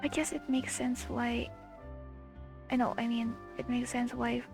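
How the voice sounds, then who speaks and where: A woman giving casual gameplay commentary, thinking aloud in a halting, questioning way as she reacts to a story. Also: a little high, steady tone, vocal fry. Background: faint game music.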